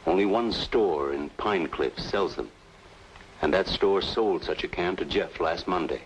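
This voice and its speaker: An actor playing a grave, formal doctor, deliberate and insistent, in an old-time radio noir drama. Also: male voice, deep voice. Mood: grave, cautioning. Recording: dull, noisy old radio recording.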